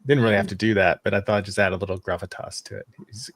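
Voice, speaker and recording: Low-pitched man chatting on a fan panel. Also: fairly even intonation, racing, a bit bright, no room sound, clean studio sound. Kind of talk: casual panel chat.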